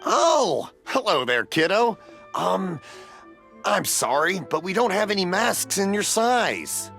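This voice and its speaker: Bright, high-pitched, hearty festival vendor. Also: male voice, very animated, takes it slowly, creaky, faint music behind, full character voice. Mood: folksy, jovial. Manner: folksy, chatty, hearty. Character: friendly, generous middle-aged male festival mask vendor. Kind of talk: video game voice acting.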